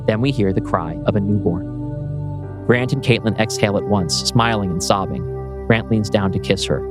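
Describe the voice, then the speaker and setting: A man's voice: lowish voice, normal speed. A man narrating a screenplay dramatically as its writer, presenting stage directions and scene changes. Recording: music playing behind voice.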